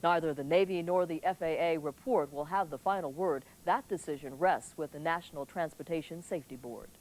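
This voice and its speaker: A woman's voice: low voice, a little fast, a little mellow, poor audio. A woman reading local television news as an anchor, in scripted newscast delivery.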